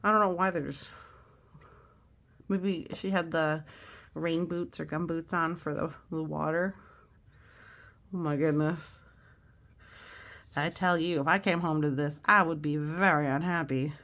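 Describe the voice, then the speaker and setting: A woman's voice: sits low, fairly even intonation, a little slow, pauses often, a bit bright. A woman reading a picture book aloud with casual asides, chatty and exclamatory, explaining things simply for children and often letting phrases drop to a near whisper.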